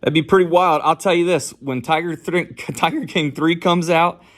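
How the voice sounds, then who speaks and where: A man's voice: a little high, fairly even intonation, moderate pace, crowd noise. A man talking sympathetically as an interviewer in a casual phone conversation.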